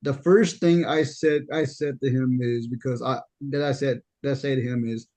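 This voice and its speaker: Young guy w a deep mellow voice, stammers and repeats himself a lot telling stories, chill podcast chat. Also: fairly even intonation, moderate pace. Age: young adult. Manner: stammering, repeats words while storytelling. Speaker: podcast host.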